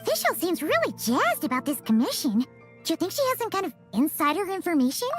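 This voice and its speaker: A woman voicing a tiny, chirpy, childlike fairy companion in a video game, chatty and blunt with a squeaky tone. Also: big pitch swings, brisk. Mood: curious. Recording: music behind the voice.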